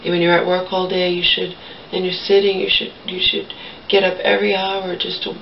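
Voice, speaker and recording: A woman's voice: on the low side, completely flat delivery, brisk, creaky, a little hiss or hum. A woman in her thirties chatting casually to camera in a small bedroom, meandering and blunt, swearing freely. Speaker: vlogger. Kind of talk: rambling casual chat to camera. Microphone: camera across the room. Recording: distant, muffled camera audio.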